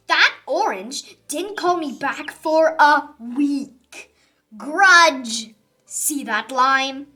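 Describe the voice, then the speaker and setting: A woman's voice: high-pitched, bright, forceful tone, exaggerated voice. A woman voicing a touchy, grudge-holding sour grape in a dramatic, emphatic read-aloud for children. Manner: dramatic, emphatic, growly outbursts.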